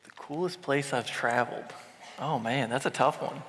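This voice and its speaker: A man's voice: medium pitch, normal speed, creaky, a bit bright, slight background noise. A man from Bosnia chatting casually as he answers questions on a live panel. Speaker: entrepreneur panelist. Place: large event hall on stage. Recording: echoey hall with long reverb.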